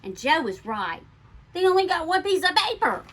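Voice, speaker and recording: A woman reading a children's picture book aloud like a schoolteacher, expressively and with deliberate, emphatic stresses. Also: very high-pitched, big pitch swings, a bit bright.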